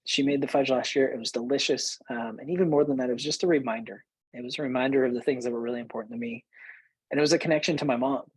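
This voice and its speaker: A man's voice: medium pitch, fairly even intonation, fast talker, breathy edge, no room sound, low-quality recording. A man in his late thirties hosting a show, telling personal stories conversationally and repeating phrases for emphasis. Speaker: host, business coach. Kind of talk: personal storytelling to listeners.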